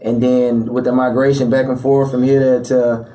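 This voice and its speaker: A man's voice: mid-range voice, moderate pace, distant mic, rough recording. Laid-back young man, slightly off-mic. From Atlanta area, born in Chicago. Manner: relaxed, loose, lots of "like" fillers. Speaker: rapper guest. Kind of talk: casual interview chat. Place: small podcast studio with foam panels. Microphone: studio mic on boom arm.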